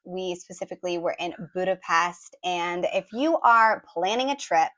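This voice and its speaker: A woman in her thirties, hoarse as her voice recovers, chatting casually through a family travel recap with lots of ums and likes. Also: lowish voice, moderate pace, a bit bright. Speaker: travel vlogger, mother of four.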